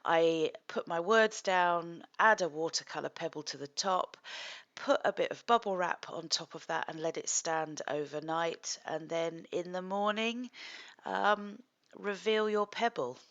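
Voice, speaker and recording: Husky low-voiced woman, middle-aged, gentle and thoughtful, a bit of gravel in it. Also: dull audio. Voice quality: slightly husky. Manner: gentle, thoughtful, with soft laughs and pauses. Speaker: psychotherapist and art project host. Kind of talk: calm reflective vlog talk.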